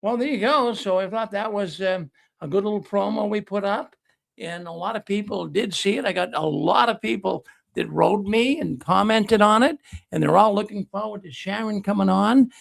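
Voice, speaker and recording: A man's voice: high voice, moderate pace, vocal fry. A man hosting a talk program, rambling and often repeating words as he restarts sentences. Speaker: host. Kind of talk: casual talk show hosting.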